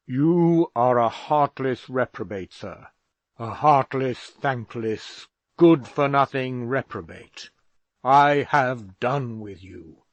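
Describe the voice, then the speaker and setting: A man voicing a stern, pompous elderly father who scolds slowly and loudly. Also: no echo, natural voice. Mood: furious. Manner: booming, slow and indignant.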